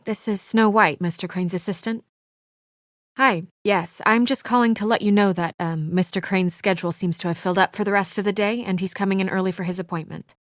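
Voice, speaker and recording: Casting: actress for guarded young woman, office assistant in a noir game; businesslike, wry-dry delivery, mid-range pitch, very dry studio sound. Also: no echo, lightly acted. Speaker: video game voice actor. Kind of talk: naturalistic video game drama acting.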